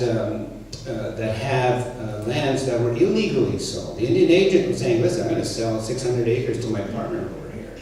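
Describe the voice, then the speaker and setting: A man's voice: medium pitch, mellow. A man with a Canadian accent speaking as a guest, answering audience questions in a conversational, explanatory way with hesitant starts. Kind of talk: answering audience questions after a public talk. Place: church hall. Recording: very echoey hall, distant mic, faint noise.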